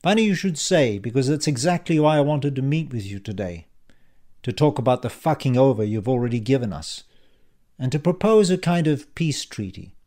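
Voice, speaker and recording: A man voicing a cheeky male schemer in an audiobook, calm, confident and mockingly polite as he taunts. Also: mid-range voice, normal speed, close to an everyday voice. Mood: calm, taunting. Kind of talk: audiobook character dialogue.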